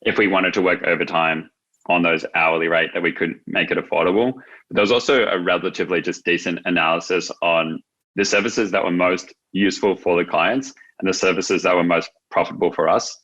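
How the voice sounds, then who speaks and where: A man's voice: low-pitched, steady tone, fairly quick, fairly bright tone, dull audio. A man speaking as an interview guest, explaining his business in an informal, wordy, conversational way. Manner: informal, wordy explaining with frequent "like" and "you know". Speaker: business founder, podcast guest.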